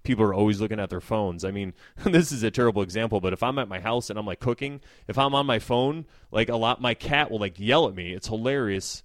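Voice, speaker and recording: An easygoing man in his forties chats on a podcast with a low, relaxed voice. The sound is dry and close to the mic. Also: fast talker, hardly any pauses, no echo. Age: 40s. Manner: easygoing, chatty, laughs often. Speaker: podcast host. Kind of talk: casual chat.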